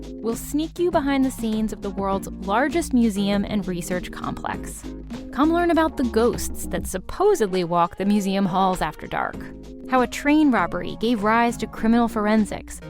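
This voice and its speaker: A woman narrating a scripted podcast promo in a polished, inviting read. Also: on the high side. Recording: promo with music bed. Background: music.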